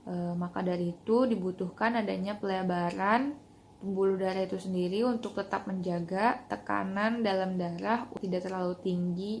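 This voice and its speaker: A woman's voice: medium pitch, steady tone, normal speed, a little mellow. Young woman explaining, some noise. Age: young adult. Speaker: student presenter. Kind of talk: explanatory class presentation. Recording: clear background noise.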